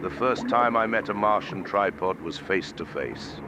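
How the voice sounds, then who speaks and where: A man playing a haunted survivor journalist who solemnly recounts terror in grave, measured film narration. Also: sits low, expressive, very noisy. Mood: somber, reflective. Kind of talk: dramatic scripted film narration. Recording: narration under loud film music. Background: loud cinematic music.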